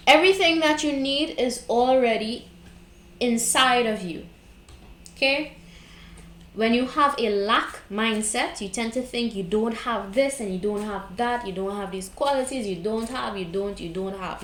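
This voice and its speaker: A woman's voice: fairly high pitch, measured pace, a bit bright, reverberant. A woman with a Trinidadian accent giving a tarot reading, talking bluntly and casually, often checking in with "okay?" and "right?". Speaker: tarot card reader. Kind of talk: casual spiritual advice monologue.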